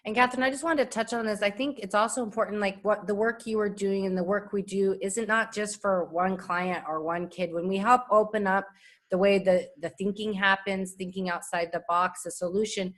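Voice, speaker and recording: A woman's voice: medium pitch, fairly even intonation, brisk, a bit bright. A woman, a speech-language assistant and parent, speaking earnestly and reflectively in a casual conversation.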